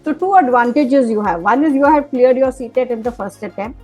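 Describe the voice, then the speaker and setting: An Indian woman hosts an interview, her voice high and encouraging. She sums up her guest's points and leads with questions, with faint music in the background. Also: animated, a little fast, darkish tone. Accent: Indian English. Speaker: host, teacher mentor. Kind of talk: interviewing a student.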